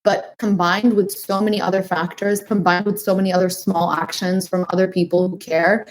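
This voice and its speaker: A woman's voice: on the low side, level tone, normal speed, low-quality recording. A woman in her twenties from New Hampshire, speaking earnestly as a podcast guest, with many fillers like "like" and "um". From New Hampshire, United States. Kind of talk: interview answers.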